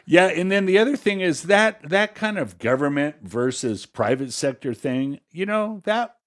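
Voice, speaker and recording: A man's voice: on the high side, expressive, moderate pace. A man co-hosting a political talk podcast, commenting in a casual, conversational way and explaining things in plain everyday terms. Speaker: podcast co-host.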